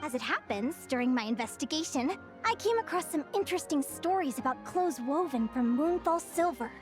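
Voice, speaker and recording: A woman voicing a gentle, scholarly young storyteller in a video game, speaking with measured patience over music. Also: sits high, lively intonation. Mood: gentle, amused. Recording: music playing behind the voice.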